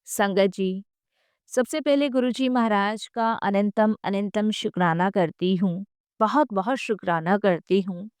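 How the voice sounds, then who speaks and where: A woman's voice: medium pitch, darkish tone, clear, resonant tone, no room sound, studio quality. A woman reading scripted devotional narration in a reverent, earnest manner, often repeating phrases for emphasis.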